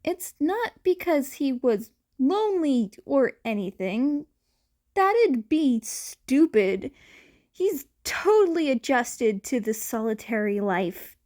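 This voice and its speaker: A woman narrating fan fiction as an amateur audiobook reader, in an expressive scripted style. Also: high-pitched, takes it slowly.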